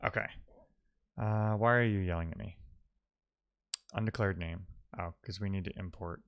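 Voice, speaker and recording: A man's voice: low voice, normal speed, clear, resonant tone, dry recording, lo-fi sound. A man hosting a live-coding stream, talking casually and thinking aloud as he works and answers his chat. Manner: informal, reading and answering chat messages aloud. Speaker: live-streaming programmer, host.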